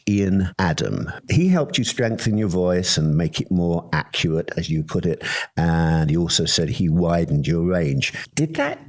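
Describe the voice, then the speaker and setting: A man hosting a radio interview who asks questions with long setups. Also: low-pitched.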